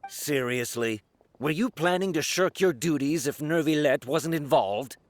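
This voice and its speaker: An actor voicing a dutiful, skeptical city guard in a video game. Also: male voice, fairly high pitch, measured pace, natural voice. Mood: reproving.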